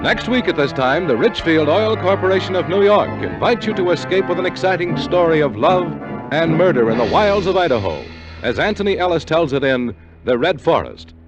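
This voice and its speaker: A man's voice: a little high, sing-song, brisk, music in the background. An American man working as a 1950s radio announcer, reading scripted promotion and credits. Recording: muffled vintage 1950 radio recording.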